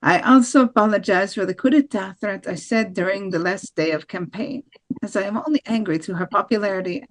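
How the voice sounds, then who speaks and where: A woman's voice: mid-range voice, fairly quick, natural voice. Woman acting a defeated nationalist politician, American accent. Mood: formal, conceding. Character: defeated female presidential candidate, nationalist, conceding with apology. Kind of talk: amateur table read of a political speech.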